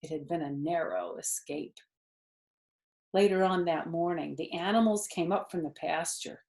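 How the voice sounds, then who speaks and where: A woman's voice: fairly low pitch, moderate pace, a little mellow. A woman storyteller reading a children's book aloud expressively, with chatty asides to young listeners.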